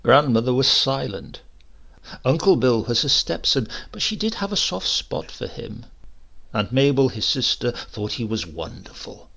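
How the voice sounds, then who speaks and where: A man reading a humorous short story aloud as dramatic narration, in a dry, measured, wry manner. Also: mid-range voice, very animated, vocal fry, pushed and bright, slightly rough. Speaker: story reader.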